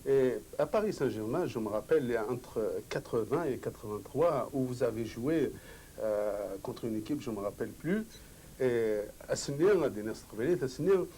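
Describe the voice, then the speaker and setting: A man's voice: medium pitch, animated, moderate pace, warm tone, a little hiss or hum, low-quality recording. A man interviewing a former footballer, asking long, hesitant, rambling questions.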